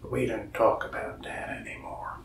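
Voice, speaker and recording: A young man in a soft-spoken ASMR roleplay as a shop salesman, whispering and rambling in a folksy storytelling way. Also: normal speed, reverberant, far from the mic.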